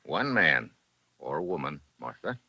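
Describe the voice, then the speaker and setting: An actor playing a tough, wry American investigator in a clipped, matter-of-fact 1940s radio drama style. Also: male voice, very deep voice, sing-song, takes it slowly, bright, forceful tone, husky, no room sound, exaggerated voice. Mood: confident, accusing. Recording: muffled vintage 1940s radio recording.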